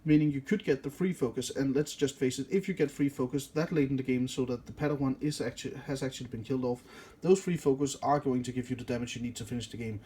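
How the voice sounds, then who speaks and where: A man's voice: mid-range voice, fast talker, warm tone. A man with a Danish accent, a native Danish speaker, casually walking through a card game deck, rambling and correcting himself often. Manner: rambling, self-correcting, many fillers.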